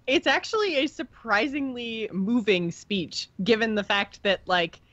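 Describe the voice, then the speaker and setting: A woman's voice: a little high, a little slow, fairly bright tone, no room sound. A woman co-hosting a podcast, chatting informally as a fan about a video game, on a slightly dull recording. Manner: informal, conversational. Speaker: podcast co-host.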